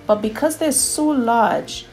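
A woman from Trinidad and Tobago with a Trinidadian accent, calmly narrating her own DIY home decor project in a scripted voice-over. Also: fairly high pitch, takes it slowly, soft background music. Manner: calm, personal and explanatory.

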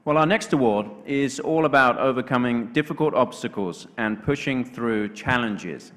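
A man's voice: medium pitch, expressive, normal speed, a bit bright. A man in his thirties hosting an awards night on stage, upbeat and joking with his guest. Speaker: awards host. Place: large banquet hall stage. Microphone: handheld mic, later podium mic. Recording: reverberant hall.

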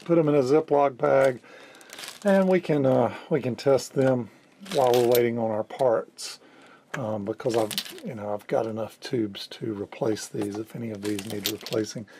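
A man in his fifties giving a casual hands-on demonstration at a workbench indoors, explaining in a halting way as he thinks aloud. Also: medium pitch, background noise. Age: 50s. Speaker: electronics restorer and presenter.

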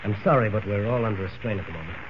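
An actor playing a strained, stern middle-aged orphanage superintendent, curt and formal, on a muffled old radio recording with hiss. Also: male voice, on the low side, racing, close to an everyday voice. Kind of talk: 1940s radio acting.

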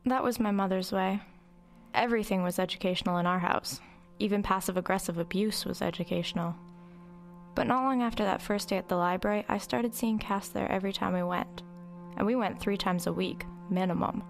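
A woman's voice: mid-range voice, fairly quick, gravelly, soft background music, natural voice. A woman playing a wry, reflective narrator recalling her troubled girlhood in a confiding first-person dramatic narration.

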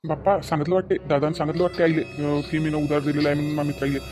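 A man's voice: fairly high pitch, level tone, rapid-fire, music in the background, natural voice. A man voicing a naive young village son who defends himself to his mother in a cartoon, with a Varhadi Marathi accent. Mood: defensive. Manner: defensive, whiny explaining.

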